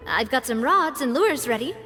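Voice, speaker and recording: A young female leader in a video game. Her voice is high, with a cheerful, kindly and teasing manner. Soft music sits faintly behind her. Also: animated, normal speed. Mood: warm invitation. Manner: friendly, teasing, upbeat.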